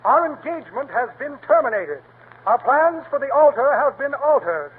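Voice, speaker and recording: A pompous, blustering con man from a 1940s radio comedy, high-pitched and full of swooping flourishes. He talks in grand, mock-tragic puns. The sound is tinny, like an old radio broadcast. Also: big pitch swings, normal speed.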